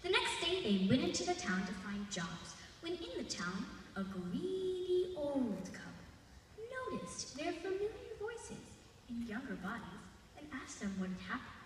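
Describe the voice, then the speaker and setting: A young girl of about eleven tells a folk tale to a live audience in a high, theatrical voice. Her pitch moves freely and she leans on dramatic pauses. The sound is distant and washed in the long reverb of a large arena, with faint noise. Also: sing-song. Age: child, 11. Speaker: storyteller. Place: large indoor arena, live festival stage.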